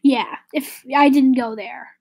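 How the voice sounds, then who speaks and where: High-voiced streamer, raspy with a cold. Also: female voice, steady tone, measured pace, a little mellow. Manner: rambling, self-correcting, drifts to a whisper. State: slightly raspy, stuffy nose from a cold. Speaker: live streamer. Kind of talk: casual live stream chat, answering viewers.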